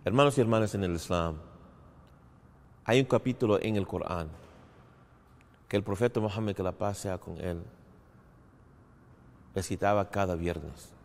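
Low slow guy, halting religious talk, echoey hall. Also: creaky, a little mellow. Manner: halting, in short phrases with pauses. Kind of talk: short religious talk to camera. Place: large empty hall with a banner, indoors. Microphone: lapel mic, standing to camera. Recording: long hall reverb.

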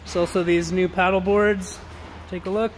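A man with a high-pitched voice shows off sale items for an auction house. His patter is upbeat, informal and slangy, aimed straight at buyers. He records on a handheld device in a warehouse, with clear background noise. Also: flat delivery, normal speed, low-quality recording. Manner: enthusiastic, slangy sales patter. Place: large warehouse showroom, indoors.

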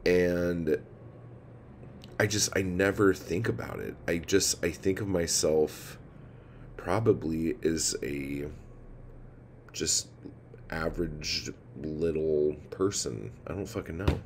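A man in his forties vlogging at home, rambling and swearing as he vents his frustrations and low mood. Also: slow, pauses often. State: feeling low and tired. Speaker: poet vlogger. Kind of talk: casual confessional vlog. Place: indoor room with ceiling fan. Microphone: phone camera held low, close. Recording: noisy phone recording.

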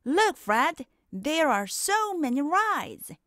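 A woman voicing an excitable, competitive young fox in a children's storybook, with animated, exclamatory delivery. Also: high-pitched, slow pace, dry recording, studio quality, voice pushed up, heavily acted. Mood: delighted.

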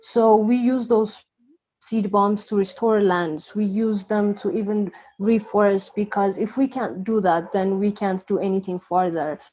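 A woman's voice: medium pitch, steady tone, a little slow, warm tone, highs cut off. A young woman with an Ethiopian accent explaining her work as a guest speaker on a video call. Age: young adult. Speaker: guest speaker, architect and co-founder. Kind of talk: explanatory panel talk. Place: plain room indoors, video call. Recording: clear noise, clicks, video call.

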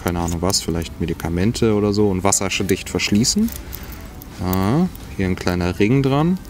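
Low-voiced man reviewing gear outdoors. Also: expressive, moderate pace. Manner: musing, talks while handling items. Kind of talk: casual hands-on product review. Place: outdoors on grass by a log. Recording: music playing underneath.

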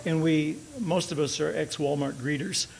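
Older guy cracking dry jokes at a podium, bit higher voice, gravelly edge, big auditorium. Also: steady tone, normal speed, darkish tone, low-quality recording. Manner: dry joking, loose and chatty. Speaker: company executive presenter. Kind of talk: conference presentation with jokes. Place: large auditorium stage. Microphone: podium microphone.

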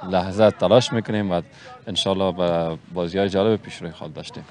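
A man in his thirties with an Afghan Dari accent, a head coach answering interview questions outdoors on a handheld mic, earnest with hesitant pauses. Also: sits low, fairly even intonation, a little mellow. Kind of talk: post-match interview. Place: outdoor pitchside backdrop.

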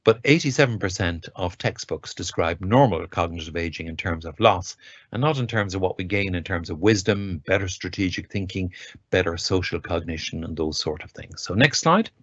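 A man's voice: sits low, animated, normal speed, dry recording. A man giving an academic lecture from a home study over a webcam, speaking with enthusiasm and pointed emphasis. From Ireland. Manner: enthusiastic, reads quotes aloud with emphasis. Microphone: webcam on a video call. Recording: dull lo-fi video call audio, dry.

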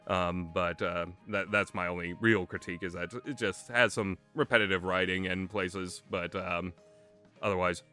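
Bright-voiced man rambling about games. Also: low-pitched. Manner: conversational, opinionated, emphatic. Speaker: video game reviewer. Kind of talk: casual rambling commentary. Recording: music playing under the voice. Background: background music.

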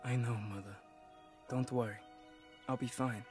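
An actor voices a youthful male hunter in a game cutscene, a protective and earnest son. His mid-pitched voice is plain-spoken and sits under very loud music. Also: steady tone, measured pace, close to an everyday voice. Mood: concerned. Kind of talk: video game cutscene acting.

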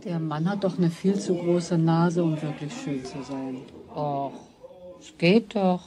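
A woman's voice: low voice, takes it slowly. A woman playing a boy's caring, somewhat distracted mother in a children's radio play. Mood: dreamy.

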